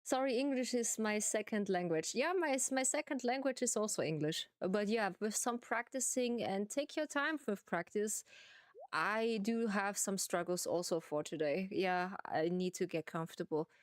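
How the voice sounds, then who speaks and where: A woman streamer with a German accent, playfully chatting to viewers in simple, halting English while she plays a farming game. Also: medium pitch, expressive, no echo, studio quality.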